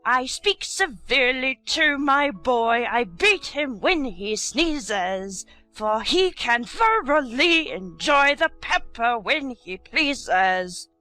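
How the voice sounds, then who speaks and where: A hoarse, growling Duchess, bad-tempered and brusque. She drags her words out slowly in a high-set voice that lurches up and down, snapping rudely as she goes. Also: expressive, pushed and bright, soft background music, heavily acted, poor audio. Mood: harsh singsong. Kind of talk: dramatized storybook audiobook.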